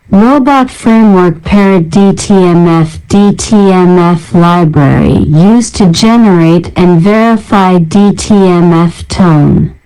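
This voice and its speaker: Slow, smooth-voiced woman narrator. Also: fairly low pitch, mellow, poor audio. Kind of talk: scripted narration, reading out a software library list.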